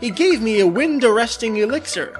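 Man playing a proud monkey hero, high voice, storybook reading, recounting a battle, music behind. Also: expressive, brisk. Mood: proud, animated. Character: Monkey King disciple boastfully recounting his fight. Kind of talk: dramatic reading from a classic novel.

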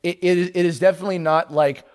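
A man's voice: high voice, steady tone, fast talker, smooth. A man hosting a casual fan podcast, talking in a rambling way with many restarts and fillers, and joking with his guest. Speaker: podcast host.

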